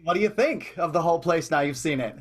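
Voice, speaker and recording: Husky, eager vaudeville-era huckster. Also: male voice, on the high side, quick pace. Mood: eager, pitching. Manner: excitable, rambling, salesman-like. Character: eager, bumbling male vaudeville-era entrepreneur pitching schemes. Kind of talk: improvised comic radio play.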